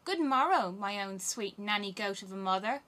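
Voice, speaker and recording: A woman's voice: medium pitch, flat delivery, normal speed, a bit bright, natural voice. A woman voicing a wild, teasing little robber girl in a storybook, bold and commanding. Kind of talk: storybook character voice.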